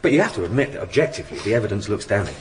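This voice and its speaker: A man's voice: on the low side, sing-song, very fast. A male actor playing a deferential but persistent police sergeant in a radio drama, arguing politely and a little hesitantly. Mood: earnest, insistent. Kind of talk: naturalistic radio drama acting. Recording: clearly noisy ambience behind the voice.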